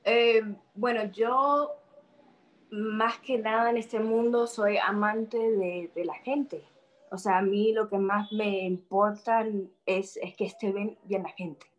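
A woman's voice: medium pitch, steady tone, slow pace, dull audio. A young woman from Spain, a guest in an interview, who talks casually and hesitates, repeating words as she thinks.

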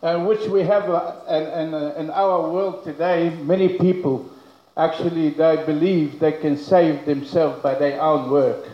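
A man preaching a sermon as pastor, speaking English as a second language with broken grammar, loud and emphatic, slowing down to stretch key words. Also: on the high side, steady tone, dull audio. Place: church. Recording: very echoey room.

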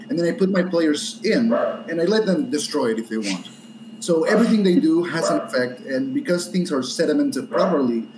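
Argentine panelist, earnest explainer, echoey noisy recording. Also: male voice, fairly high pitch. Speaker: panelist, YouTuber. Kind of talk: casual panel chat.

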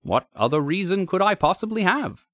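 Man playing a composed, inquisitive gentleman visitor. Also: high-pitched, sing-song, moderate pace, no echo, clean studio sound, pitched above the performer's own voice, full character voice. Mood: reassuring, reasonable. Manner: polite, inquisitive. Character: curious, composed gentleman visitor, politely probing and reassuring.

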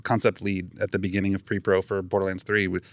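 Male guest, game artist, low voice, dry close sound, thinks aloud as he talks. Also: flat delivery, quick pace, no echo. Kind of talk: casual podcast chat.

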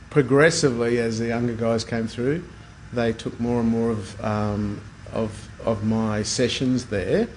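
A middle-aged Australian man answers questions as a podcast guest. His voice sits at a medium pitch, and his delivery is casual, unassuming and a little hesitant. Room noise can be heard behind him. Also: a little mellow, rough recording. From Brisbane, Australia. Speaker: guest, plastic surgeon. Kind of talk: casual interview chat.

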